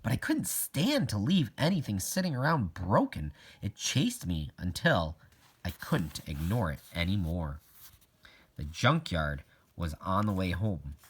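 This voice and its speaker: A man's voice: sits low, very animated, a little slow, slightly rough. A man reading a children's novel aloud as a first-person narrator. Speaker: audiobook reader.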